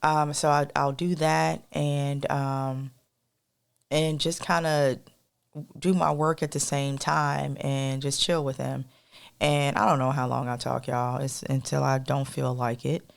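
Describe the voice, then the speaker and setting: A woman co-hosting a podcast in a casual, rambling monologue with a soft, melodic voice, talking straight to listeners as y'all. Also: extremely low pitch, flat delivery, a little slow, creaky, smooth. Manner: rambling, addresses listeners as y'all, many fillers. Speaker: podcast co-host.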